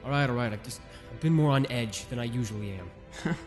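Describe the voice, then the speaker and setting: A man's voice: medium pitch, a little fast, lightly acted. Guy voicing a grieving soldier, defensive and snarky, faint music. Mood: bitter. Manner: defensive, sarcastic. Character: bitter grieving young soldier man.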